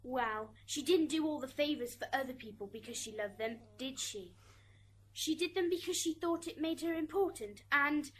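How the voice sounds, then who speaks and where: High-pitched petulant schoolgirl played by a woman. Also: expressive, moderate pace. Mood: defensive. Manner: petulant, self-justifying. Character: resentful schoolgirl daughter testifying in afterlife court. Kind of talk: amateur radio drama acting. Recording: hissy amateur recording, background noise.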